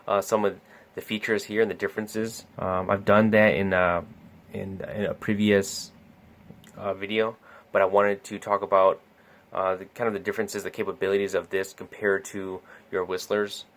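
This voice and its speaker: Low flat-voiced man demoing gadgets. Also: normal speed. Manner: easygoing, lots of uh and um fillers. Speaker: scanner store salesperson. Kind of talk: casual product demo talk.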